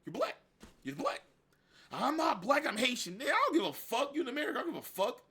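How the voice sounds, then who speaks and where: A man ranting casually in heavy slang and profanity, animated and blunt. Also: very high-pitched, quick pace, slightly rough. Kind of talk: casual rant.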